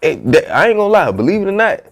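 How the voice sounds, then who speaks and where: A man's voice: high voice, very animated, racing. A young man, a rapper telling his story as a podcast guest in loose, slangy talk. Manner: loose, slangy, peppered with "you know what I'm saying". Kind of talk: casual storytelling interview.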